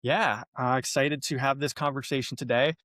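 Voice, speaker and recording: A man hosting a podcast interview, asking relaxed questions with plenty of hesitations. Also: medium pitch, normal speed, dry recording, clean studio sound. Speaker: podcast host.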